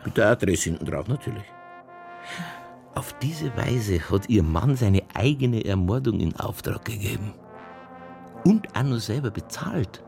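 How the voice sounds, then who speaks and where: A sardonic Bavarian police detective in a radio play. The voice is rough and full of fry, moving widely up and down as it presses a suspect with dry insistence. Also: male voice, lowish voice, very animated, measured pace, a little mellow, faint music behind, full character voice. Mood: accusing. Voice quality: gravelly, husky. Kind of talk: naturalistic radio drama dialogue.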